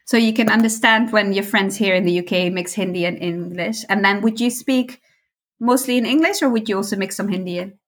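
A woman from the Netherlands interviewing a guest, calmly summing up each answer before her next question. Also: medium pitch. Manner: measured, sums up answers. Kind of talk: podcast interview.

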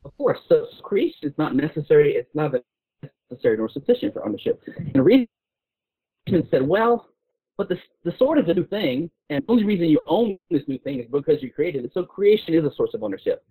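A man speaks over a narrow, phone-like line with a rough, husky edge to his voice. He argues his case informally and intellectually, haltingly, often repeating words as he works toward each point. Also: a little high, sing-song. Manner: halting, repeats words while building arguments. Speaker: guest speaker on a discussion show.

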